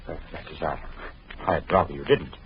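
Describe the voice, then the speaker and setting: A man's voice: very deep voice, moderate pace. An actor playing a nervous Frenchman who hides his lover, polite but evasive and flustered, in a 1940s radio drama. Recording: dull lo-fi old radio recording with faint hiss.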